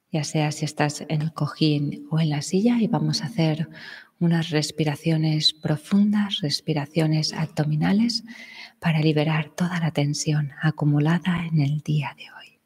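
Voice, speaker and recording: A woman guiding a meditation, speaking in a soft, breathy near-whisper with long calm pauses. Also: low-pitched. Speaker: meditation guide. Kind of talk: guided meditation. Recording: long reverb.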